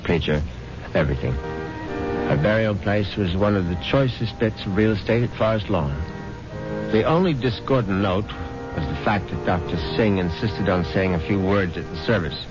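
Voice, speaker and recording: A man's voice: sits low, normal speed, close to an everyday voice. An actor playing a smug, urbane rich widower who narrates his own scheme with dry irony in a 1970s radio drama. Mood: smug, wry. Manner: self-satisfied, urbane, drily ironic. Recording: muffled vintage radio broadcast, loud music behind.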